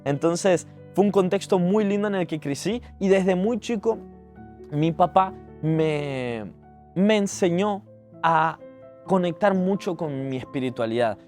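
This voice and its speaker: A young man from Uruguay with a Uruguayan accent speaks in a fairly high-pitched voice. He talks conversationally and earnestly, as in a reflective personal vlog. Soft music plays behind his words. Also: animated, unhurried. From Montevideo, Uruguay. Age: 20s. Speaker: digital entrepreneur telling their life story. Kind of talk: personal storytelling vlog, spoken to camera.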